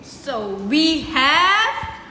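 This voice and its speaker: A woman's voice: high voice, very animated, extremely slow, sharp tone, slight background noise. A young adult woman, presenting casually as a student in a group discussion. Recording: echoey hall with faint crowd chatter.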